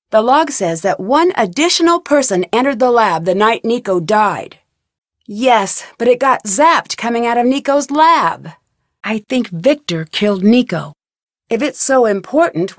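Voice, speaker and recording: A woman's voice: fairly high pitch, dry recording, studio quality. A woman playing a young female detective in a video game, asking inquisitive, direct, persistent questions. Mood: curious. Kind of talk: video game dialogue lines, investigative questioning.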